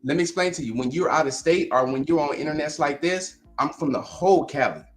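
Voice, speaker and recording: Insistent man from Long Beach debating. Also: on the high side. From Long Beach, California. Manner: insistent, argues in quick bursts. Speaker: guest caller. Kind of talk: heated live-stream debate.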